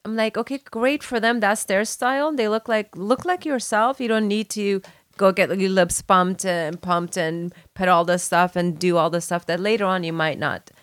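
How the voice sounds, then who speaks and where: A woman's voice: mid-range voice, fairly even intonation, no echo, clean studio sound. A woman in her forties, originally from Afghanistan, chatting casually and emphatically in a group conversation. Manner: chatty and emphatic, quick back-and-forth. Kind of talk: casual chat.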